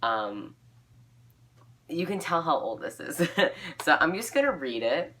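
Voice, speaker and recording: Giggly woman reading her old writing out loud, bright voice, very animated, pokes fun at herself. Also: low voice, takes it slowly. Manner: giggly, self-mocking. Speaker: video creator reading old fan fiction. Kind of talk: amused read-aloud with casual asides.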